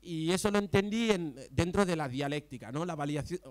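High-pitched young man speaking on a panel. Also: animated, fairly quick. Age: young adult. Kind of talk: impassioned political panel talk. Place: panel table in an event tent before an audience. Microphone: handheld mic close to the mouth.

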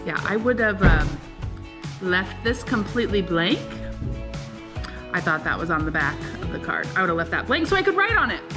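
A woman's voice: fairly low pitch, sing-song, normal speed, over music. A woman in her thirties chatting casually with family and to a camera in a large room at home. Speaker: family vlogger, mother. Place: large open-plan living room and kitchen, indoors.

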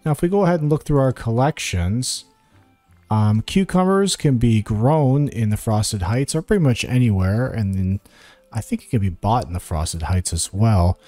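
A man with a soft, mellow, mid-range voice talks through a video game guide in a casual way. He thinks aloud and addresses the characters, with some music behind him. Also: medium pitch, moderate pace, no room sound. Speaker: gaming guide host.

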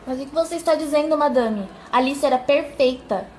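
A woman's voice: high-pitched, brisk, darkish tone, reverberant, natural voice. A woman playing an indignant, fiercely loyal governess in an amateur radio drama.

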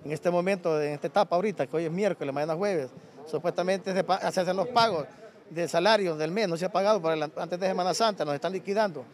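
A middle-aged Honduran man speaks off the cuff to press microphones outdoors. His voice is high and he talks fast, as a union leader would. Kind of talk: spontaneous press interview. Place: outdoors at a gated building entrance. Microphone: handheld press microphones.

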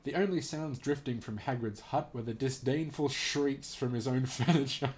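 A man's voice: medium pitch, normal speed, slight background noise. A man casually reading aloud and commenting as host, amused and giggly, swearing now and then.

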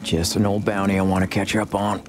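A man acts out a gruff bounty-hunting gunslinger from a Western video game. He speaks low, with a dry, teasing confidence and few words. Faint outdoor ambience sits behind him. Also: little pitch movement, a little fast, noisy background, close to an everyday voice. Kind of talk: video game cutscene acting.

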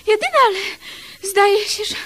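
A lovestruck, genteel lady in a radio comedy. Her voice is extremely high and very animated, full of fluttery exclamations and gushing sentiment. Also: measured pace, heavily acted. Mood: tender, moved. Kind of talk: comedic radio play acting.

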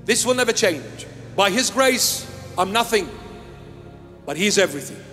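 Older bishop from iraq, high voice, repeats himself for emphasis, long church echo. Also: male voice, lively intonation, normal speed, bright, forceful tone, faint music behind. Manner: emphatic, repeating key phrases. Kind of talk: sermon. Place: small church with altar and candles. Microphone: handheld microphone.

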